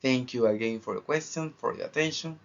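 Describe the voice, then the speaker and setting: A young man from Argentina with an Argentine accent, a student giving a formal final project presentation in measured phrases with pauses. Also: mid-range voice. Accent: Argentine Spanish. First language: Spanish. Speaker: international trade student. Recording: dull lo-fi recording.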